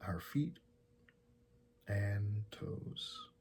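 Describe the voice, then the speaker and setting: A man leading a guided meditation in a soft, breathy, husky voice, giving calm and gentle instructions with long pauses. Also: lowish voice, a little hiss or hum.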